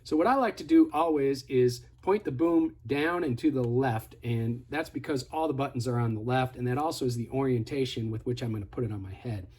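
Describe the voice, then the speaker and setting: A man's voice: medium pitch, a little fast, hardly any pauses, a little mellow, a little hiss or hum. A man giving a casual, hands-on product tutorial in a conversational, step-by-step instructive way. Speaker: product demonstrator.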